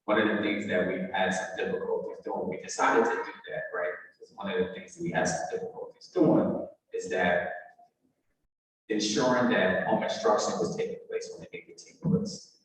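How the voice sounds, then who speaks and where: A man with a low voice delivers a formal presentation in the manner of a school administrator explaining policy. He sounds far from the microphone, and his words ring through a large, very reverberant meeting room. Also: huge pitch swings, moderate pace, a little hiss or hum. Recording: very echoey, reverberant hall, distant mic.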